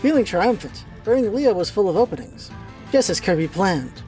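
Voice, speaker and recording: A woman narrates a radio play from a script. Her voice is soft-edged and mellow at an average pitch, in a storybook reading style. Faint music runs behind her. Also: sing-song, moderate pace.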